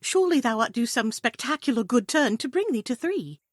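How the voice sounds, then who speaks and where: A woman voicing a bold, earnest adventuring heroine who speaks deliberate archaic English in an audio play. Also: on the high side, lively intonation, dry recording, studio quality, pitched above the performer's own voice. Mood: earnest, apologetic.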